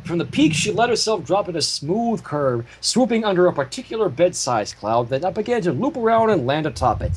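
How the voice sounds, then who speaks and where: A man's voice: high voice, big pitch swings, a little fast, few pauses, breathy edge. A man reading fan fiction as a narrator with casual asides, on a slightly noisy home recording.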